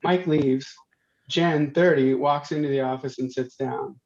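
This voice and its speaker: A man's voice: mid-range voice, fairly even intonation, measured pace, gravelly, darkish tone. A man reading out stage directions as scripted narration. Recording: dull, lo-fi sound.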